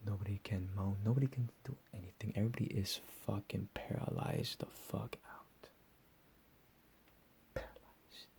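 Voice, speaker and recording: A man playing a deranged, menacing captor in a whispered ASMR roleplay, profane and slow with drawn-out emphasis. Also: on the low side, vocal fry, a little mellow. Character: deranged menacing male captor, recently escaped asylum.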